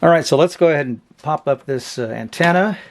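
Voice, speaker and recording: A man casually demonstrating products without a script, speaking in an offhand, halting and hedging way. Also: a little high, slight background noise. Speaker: host of a vintage radio review channel.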